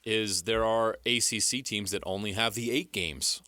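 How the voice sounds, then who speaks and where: A man's voice: fairly low pitch, fairly even intonation, moderate pace, pushed and bright, no room sound, studio quality. An American man hosting a sports talk show, discussing and arguing with emphasis and rhetorical questions.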